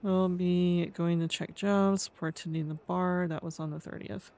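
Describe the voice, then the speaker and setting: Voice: medium pitch, steady tone, normal speed, a little mellow. A game streamer with a speech impediment, talking casually and reading aloud during roleplay, often tongue-tied and stumbling over words. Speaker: streamer playing a roleplay character.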